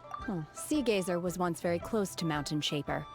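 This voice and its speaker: A woman voicing a calm, aloof young female recluse in a video game, reserved and measured. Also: on the low side, over music. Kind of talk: video game character acting.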